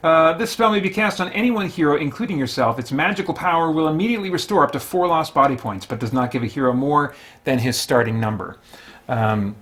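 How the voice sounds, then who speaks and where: A man with a slightly high voice hosts a solo tabletop game session. He gives casual running commentary, talking through each move out loud as he works out what to do. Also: expressive, quick pace. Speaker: host of a solo tabletop gaming stream.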